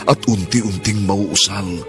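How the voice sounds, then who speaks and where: A man's voice: low-pitched, slow pace. A man announcing a radio drama with a solemn, theatrical scripted delivery. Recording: prominent music under the voice. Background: theme music.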